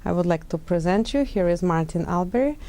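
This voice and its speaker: A woman's voice: lowish voice, animated, brisk, dark tone. A woman hosting a TV interview in English, using many fillers and short murmurs of agreement. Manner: frequent fillers and back-channel murmurs. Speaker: TV host.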